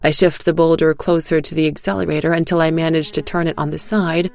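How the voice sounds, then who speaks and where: A woman reading a first-person dramatic story in a suspenseful, deliberate way, as a scripted narrator. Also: deep voice, flat delivery, vocal fry, a little mellow. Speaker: narrator and voice actor. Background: faint music.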